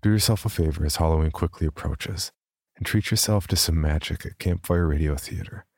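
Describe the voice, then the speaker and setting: A man's voice: rumbling low, brisk, darkish tone, no echo. A man hosting a podcast, reading out scripted announcements. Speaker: podcast host.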